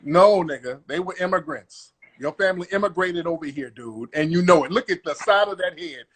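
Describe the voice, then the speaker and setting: A man's voice: on the high side, a little fast, a bit bright, husky. A man hosting a live call-in room, grilling callers in an aggressive, profane, repetitive way. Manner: aggressive, interrogating, profane, repeats himself. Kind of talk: confrontational live-stream comedy bit.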